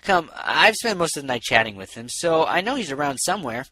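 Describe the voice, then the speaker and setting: A man voicing a brisk, friendly, pushy female pony in a storybook, eager and coaxing. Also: a little high, sing-song, bright, forceful tone, dry recording, voice pushed up, exaggerated voice. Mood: encouraging.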